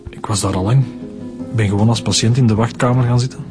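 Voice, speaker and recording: A man's voice: lowish voice, fairly even intonation, quick pace, music in the background, lightly acted. An actor with a Flemish accent playing a weary middle-aged man who confesses to a killing in a radio drama. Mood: resigned. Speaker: suspect.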